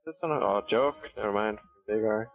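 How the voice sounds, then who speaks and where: A man's voice: lowish voice, fairly quick, phone-quality sound. A young man streaming a game from a small room on a headset, chatting casually, swearing and thanking subscribers.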